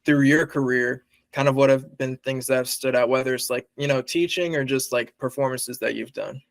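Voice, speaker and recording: A man's voice: mid-range voice, steady tone, normal speed, no echo. A young man interviewing in a casual, loosely phrased, informal way with lots of filler words. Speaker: interviewer and comic writer.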